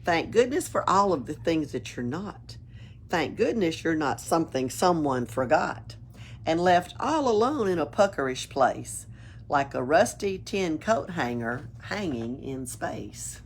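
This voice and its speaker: A woman reading a children's storybook aloud in an expressive, playful way, adding her own asides. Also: low voice, measured pace, slight background noise. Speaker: story reader at a library. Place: library.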